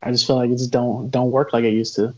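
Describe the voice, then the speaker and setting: A young adult man talking in a laid-back, smooth way as a podcast co-host on a video call. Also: medium pitch, flat delivery, fast. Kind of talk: casual chat. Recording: dull lo-fi call audio with crowd noise.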